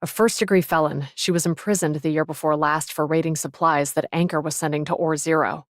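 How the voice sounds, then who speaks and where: A woman's voice: low-pitched, fairly even intonation, a little fast, dry recording, clean studio sound, lightly acted. A woman voicing a cool, self-assured, tough female fighter in an anime video game, composed and matter-of-fact.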